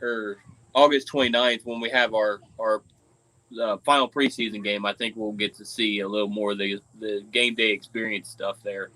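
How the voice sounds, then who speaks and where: A man co-hosting a casual sports chat, easygoing and agreeable, his voice slightly hoarse from cheering. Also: fairly low pitch, steady tone, normal speed, pushed and bright, rough recording. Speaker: podcast co-host.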